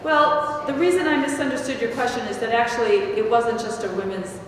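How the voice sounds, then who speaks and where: A woman's voice: fairly high pitch, lively intonation, brisk. An older woman speaking on a panel and answering audience questions, conversational, with frequent restarts and self-corrections. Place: large echoey hall with stone walls. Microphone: table mic, picked up from a distance. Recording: echoey hall, distant mic, audible noise.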